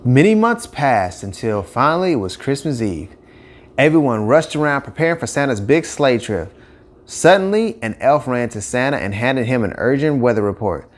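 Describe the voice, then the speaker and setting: A man narrating a scripted children's story with expressive, emphatic phrasing. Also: mid-range voice, moderate pace.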